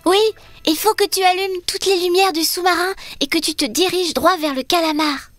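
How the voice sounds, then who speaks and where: A woman's voice: extremely high pitch, fairly even intonation, moderate pace, a bit bright, dry recording. A woman voicing a curious, confident young girl adventurer in a French children's cartoon dub. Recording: music behind the voice.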